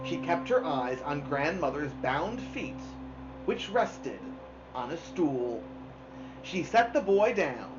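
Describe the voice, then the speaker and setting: Female storybook narrator, highly expressive, pauses for drama, slightly muffled recording with clear music underneath. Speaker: storybook reader. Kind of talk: expressive read-aloud of a children's novel. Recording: dull lo-fi sound, music behind.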